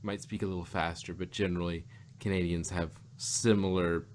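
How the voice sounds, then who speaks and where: A man's voice: sits low, little pitch movement, moderate pace, slight background noise. A man co-hosting a casual review podcast, rambling and often rephrasing as he goes. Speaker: podcast co-host reviewing audio drama. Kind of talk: casual chat.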